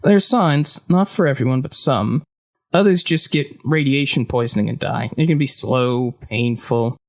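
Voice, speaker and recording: A man's voice: mid-range voice, normal speed, close to an everyday voice. A man playing a talkative, theatrical fight-ring boss with a morbid streak in an audio drama. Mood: breezy, morbid.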